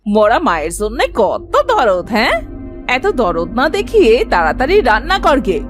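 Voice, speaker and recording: A woman's voice: medium pitch, big pitch swings, moderate pace, over music, natural voice. Woman acting a cruel, scolding cartoon stepmother. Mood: spiteful, taunting. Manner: harsh, taunting, bossy. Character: cruel, scolding stepmother of two orphaned sisters.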